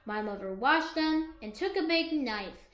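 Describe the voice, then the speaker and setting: A woman reads a story to children in a high, bright voice. Her delivery is expressive and swings up and down, and the room around her echoes. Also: unhurried. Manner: expressive, dramatized reading. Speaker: teacher co-reading aloud to a class. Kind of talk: read-aloud storytelling for children.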